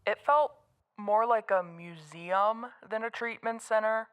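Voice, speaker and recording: A woman's voice: mid-range voice, measured pace, smooth, lightly acted. An actress playing a guarded, matter-of-fact woman answering an interviewer in an audio drama. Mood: uneasy, critical. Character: guarded, unimpressed woman witness recounting to a reporter.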